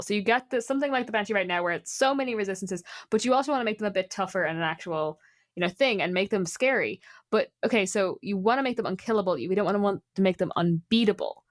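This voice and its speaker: A woman with an Irish accent chatting casually, thinking aloud in a rambling way. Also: medium pitch. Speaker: player and co-host on a show. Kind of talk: casual chat.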